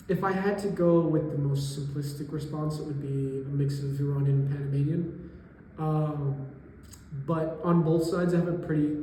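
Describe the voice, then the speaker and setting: A young man in his twenties, a college student from New York, answering interview questions thoughtfully and pausing to find his words. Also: a little high, mellow, a little hiss or hum. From New York area, US. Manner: thoughtful, pauses to search for words. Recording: echoey room with long reverb.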